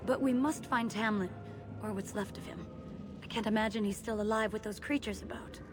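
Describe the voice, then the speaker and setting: An actress playing an earnest, apprehensive young elf mage in a fantasy video game, speaking in a measured way. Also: female voice, mid-range voice, fairly even intonation, noisy background, lightly acted. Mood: pensive, worried. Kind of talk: video game character dialogue. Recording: loud game music behind.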